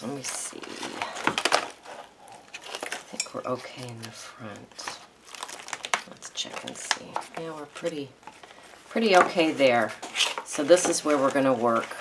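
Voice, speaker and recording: A hoarse woman with a froggy voice hosting a casual crafting tutorial, chatting and thinking aloud with ums as she works. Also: rumbling low, lively intonation, slow, pauses often, very noisy. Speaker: craft video host.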